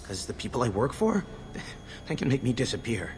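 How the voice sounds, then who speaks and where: An actor playing a nervous young petty criminal in a video game, with slangy, ungrammatical street talk. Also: male voice, medium pitch, very animated, moderate pace, music in the background, close to an everyday voice. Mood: scared, reluctant.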